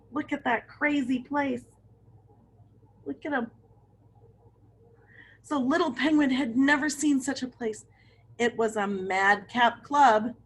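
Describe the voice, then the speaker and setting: A woman's voice: a little high, a little hiss or hum, low-quality recording. A woman reading a picture book aloud to children, with chatty asides and questions to her young listeners. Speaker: read-aloud storyteller for children.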